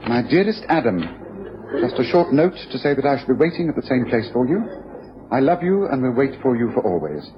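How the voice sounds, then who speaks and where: Brisk, self-assured male detective, acted by a man, period radio drama, lively intonation, dull recording. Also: mid-range voice, natural voice. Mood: intent, deductive. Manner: decisive, declarative, explanatory. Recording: dull old radio recording, faint hiss.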